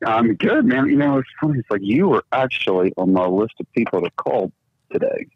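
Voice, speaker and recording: Husky man on a dull phone line. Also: mid-range voice, huge pitch swings, a little fast, dry recording. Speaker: phone call recipient. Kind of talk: casual phone chat. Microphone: telephone.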